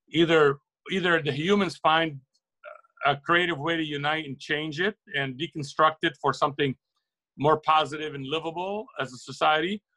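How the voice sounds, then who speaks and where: Bright-voiced, higher-pitched middle-aged man. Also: rough recording. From Lebanon. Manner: earnest, halting with repeated words and emphatic rephrasing. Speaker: interview guest, record shop owner. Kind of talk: reflective, opinionated interview talk.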